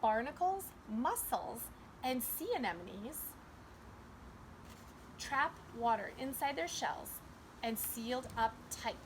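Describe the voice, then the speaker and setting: A woman's voice: a little high, lively intonation, slow pace, long pauses, slight background noise, poor audio. A woman preschool teacher reading and explaining simply and instructively to young children. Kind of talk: children's read-aloud and simple lesson.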